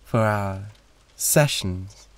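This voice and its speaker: A man's voice: lowish voice, drawn-out words. A British man playing a suave, condescending, flirtatious demon in a theatrical roleplay audio drama, with a haughty drawl. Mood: seductive.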